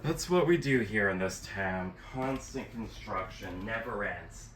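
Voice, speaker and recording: A man's voice: fairly low pitch, lively intonation, a little slow, background noise. A young adult man giving a casual, rambling show-and-tell as a channel host, self-deprecating and sardonic, with casual swearing. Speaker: host of a physical media collecting channel.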